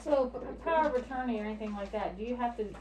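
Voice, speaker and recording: A woman's voice: fairly high pitch, very fast, a crowd in the background. A woman in the audience asking a tentative question. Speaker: audience member. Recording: distant in a noisy room.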